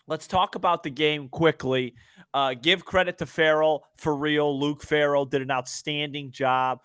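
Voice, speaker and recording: A man's voice: a little high, fairly even intonation, a little slow, fairly bright tone, clean tone, no echo. A man co-hosting a sports podcast, giving casual, opinionated and emphatic commentary, often stumbling over repeated words.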